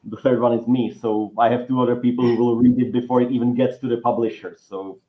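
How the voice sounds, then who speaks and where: A man's voice: mid-range voice, level tone, fast talker. A man with a Czech accent, a writing teacher and guest author, talking in a rambling way with many restarts and fillers. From Czech Republic. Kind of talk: relaxed interview conversation. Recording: roomy, dull lo-fi sound.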